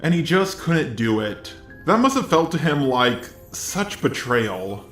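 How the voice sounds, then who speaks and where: A man's voice: mid-range voice, big pitch swings, a little slow, a bit bright, faint music behind. Synthetic male robot voice with roomy echo. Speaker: video commentator. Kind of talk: scripted video commentary.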